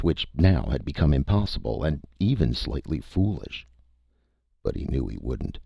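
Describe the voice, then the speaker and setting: Very deep, gravelly male audiobook narrator. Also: no room sound, muffled recording. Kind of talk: scripted dramatic story narration.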